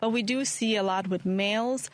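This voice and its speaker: A woman in her forties, originally from Poland, speaks as a guest in a radio studio. She explains things in a measured, thoughtful way with frequent ums. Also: medium pitch. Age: 40s. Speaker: guest, addiction treatment director. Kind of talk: radio interview.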